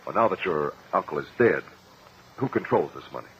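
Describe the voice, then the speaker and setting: Calm, probing radio-drama attorney. Formal, very varied pitch, muffled vintage sound. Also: male voice, fairly quick. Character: calm, methodical male lawyer questioning witnesses in court. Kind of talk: 1950 radio courtroom drama acting. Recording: muffled, hissy old radio recording.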